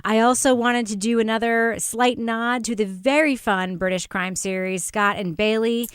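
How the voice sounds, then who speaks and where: A woman's voice: a little high, steady tone, moderate pace, smooth, no room sound, studio quality. A woman hosting a conversational podcast, giving recommendations in an emphatic, enthusiastic way. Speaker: podcast host and moderator.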